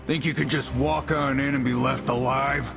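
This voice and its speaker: Man acting menacing pirate captain, gravelly, medium pitch, defiant, video game villain, music behind. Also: fairly even intonation, normal speed, close to an everyday voice. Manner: defiant, threatening. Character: menacing male pirate captain, arrogant, threatening. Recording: loud music under the voice. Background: cinematic game music.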